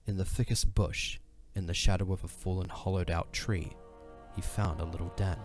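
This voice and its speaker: A man's voice: sits low, steady tone, moderate pace. A man narrating an audio drama as a scripted story. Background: faint music.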